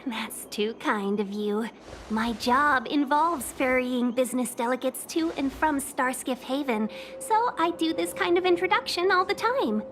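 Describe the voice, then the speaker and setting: A young fox woman who works as a guide and envoy in a fantasy game. Her voice is high and swings widely in pitch. She speaks in courteous, formal, polished phrases over clearly audible music. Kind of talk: video game voice acting. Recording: music behind the voice.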